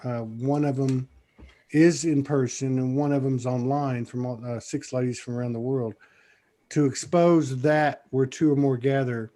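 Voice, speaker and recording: A man's voice: medium pitch, unhurried. Easygoing male podcast host. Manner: relaxed, rambling with many fillers. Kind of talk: casual interview chat.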